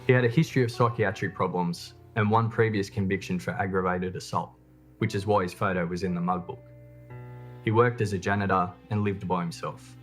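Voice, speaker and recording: A man reading scripted true crime documentary narration in a measured, matter-of-fact way. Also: deep voice, steady tone, soft background music.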